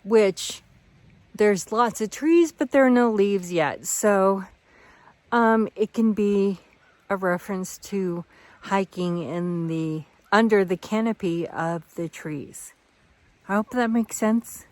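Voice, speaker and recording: A woman's voice: mid-range voice, slow pace, a little mellow, no echo. A woman vlogging casually on a mountain trail outdoors, rambling and thinking aloud into a handheld camera. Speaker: thru-hiker vlogger.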